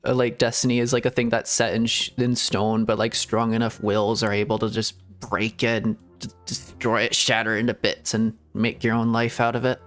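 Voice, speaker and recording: A man streaming a game, chatting casually in a rambling, giggly way that often trails off and restarts. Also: mid-range voice. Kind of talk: casual gameplay commentary.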